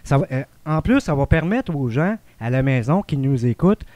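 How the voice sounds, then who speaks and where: A man's voice: mid-range voice, animated, moderate pace, darkish tone, no echo. A middle-aged man with a Quebec French accent, hosting a radio interview in a small studio, chatty and hesitant with fillers. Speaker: radio host. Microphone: studio mic on stand.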